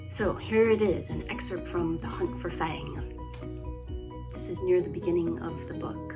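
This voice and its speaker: A woman reads a children's story aloud in a slightly low voice. She is expressive, shaping lines with dramatic pauses and hushed emphasis. Music plays along with her reading. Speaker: children's book author reading own work. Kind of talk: expressive storybook read-aloud, friendly introduction.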